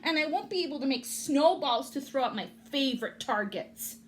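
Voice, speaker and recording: Woman putting on a loud, cranky storybook rabbit. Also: sits high, animated, moderate pace, slight background noise, pitched above the performer's own voice. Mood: complaining. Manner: grumbling, emphatic. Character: cranky, grumbling male rabbit, a pessimistic complainer. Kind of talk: children's storybook read-aloud.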